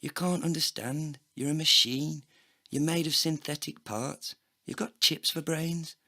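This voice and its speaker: An actor playing a bitter, heartbroken, foul-mouthed man, narrating a scripted comedy audio play in a sardonic, deadpan way. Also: fairly high pitch, a little slow, no echo, studio quality, heavily acted. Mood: angry, scornful.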